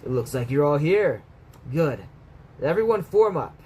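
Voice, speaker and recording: A man voicing a stern, brisk middle-aged martial arts teacher in a storybook read-aloud. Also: on the high side, big pitch swings, mellow, lo-fi sound. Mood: commanding. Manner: commanding, brisk. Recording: clear background noise.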